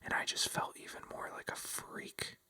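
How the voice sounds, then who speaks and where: A vlogger telling a rambling, casual story in a breathy ASMR whisper, full of fillers like "like" and "you know.". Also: moderate pace, pushed and bright. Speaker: vlogger addressing viewers.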